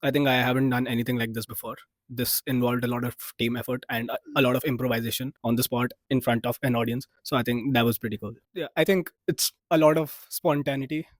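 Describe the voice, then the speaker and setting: A young man speaks quickly and in a level, matter-of-fact way about his practice. The sound is dry and close. He talks like a student in a testimonial clip. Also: medium pitch, no room sound. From India. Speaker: graduate student.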